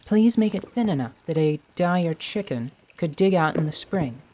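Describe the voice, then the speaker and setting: A woman playing a gruff, wry female winged warrior in a radio theatre drama, speaking tersely and formally. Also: lowish voice, animated, moderate pace, mellow, close to an everyday voice. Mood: dry command. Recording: clear background noise.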